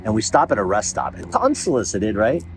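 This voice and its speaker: A middle-aged man with a low-sounding voice chatting casually, an animated storyteller who laughs often and swears freely. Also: brisk. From United States. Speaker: voice actor and host. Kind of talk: casual chat. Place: outdoors in a park. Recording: noisy outdoor recording with traffic.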